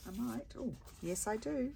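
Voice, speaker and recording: A woman with a croaky voice, chatting casually and thinking aloud as she makes paper crafts at her craft table. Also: fairly high pitch, lively intonation, normal speed, heavy background noise. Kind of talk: casual crafting chat while working.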